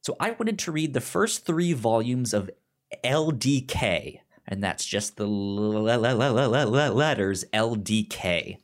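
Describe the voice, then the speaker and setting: A man chatting casually as a podcast co-host, halting and informal, with a stutter that repeats sounds and syllables. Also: mid-range voice, expressive. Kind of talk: casual chat, pitching shows to a co-host.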